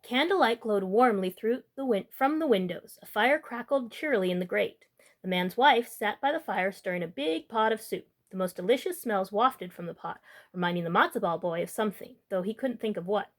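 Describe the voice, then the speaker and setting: A woman's voice: medium pitch, lively intonation, a little fast. A woman hosting a storytime, reading a children's book expressively and adding informal asides. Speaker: storytime host reading aloud.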